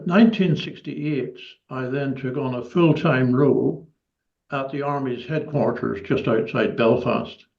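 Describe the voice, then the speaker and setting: An elderly man with a Northern Irish accent recounting his personal history as a guest, in a measured, articulate way. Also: medium pitch, gravelly, darkish tone, muffled recording. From Northern Ireland. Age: 70s or older. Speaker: interview guest, former army officer.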